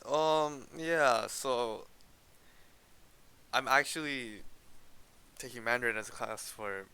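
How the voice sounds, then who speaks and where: Teen male co-host from Canada, bright crisp voice, casual hesitant chat with restarts. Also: mid-range voice, slow. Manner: hesitant, restarts often, laughs while explaining. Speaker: podcast co-host.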